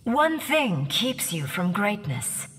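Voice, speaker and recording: Sly, swooping villainess. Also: female voice, mid-range voice, big pitch swings, slow, fairly bright tone, breathy edge, heavily acted. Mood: superior. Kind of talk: video game character dialogue. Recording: music behind the voice.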